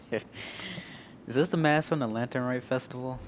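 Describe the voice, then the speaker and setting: A man's voice: medium pitch, measured pace, a little hiss or hum, poor audio. A man giving casual, sarcastic asides as he reacts to a video game story. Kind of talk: casual gameplay reaction commentary. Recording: game music behind the voice.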